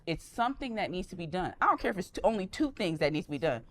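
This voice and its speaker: A young woman in her twenties with a fairly low voice, chatting on a panel. She talks in a lively, rambling way and keeps restarting her thoughts before landing them. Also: quick pace, slight background noise. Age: 20s. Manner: animated, rambling, often restarts mid-thought. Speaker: panel guest. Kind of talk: casual chat.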